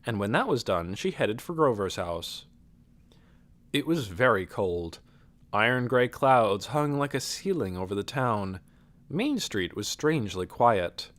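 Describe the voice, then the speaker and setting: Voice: mid-range voice, fairly bright tone. An audiobook narrator reading a novel as scripted literary narration.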